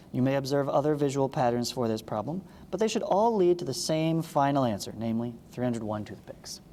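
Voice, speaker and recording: A man's voice: on the high side, mellow. A man teaching math, reading a lesson aloud and adding casual explanatory asides. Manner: explanatory, checks understanding with short asides. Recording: long reverberant echo, clearly noisy background.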